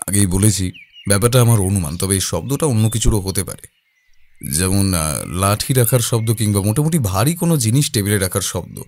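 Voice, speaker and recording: A man playing a low-voiced, thoughtful detective. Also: expressive, a little mellow. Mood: calm, pondering. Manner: deliberate, pausing, hesitating mid-thought. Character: calm, thoughtful male detective, measured and observant. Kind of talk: audio drama dialogue.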